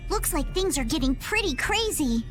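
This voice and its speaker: A woman playing a blunt, sharp-tongued young mage with a dry way of talking. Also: high voice, animated, normal speed. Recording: game audio with loud music behind.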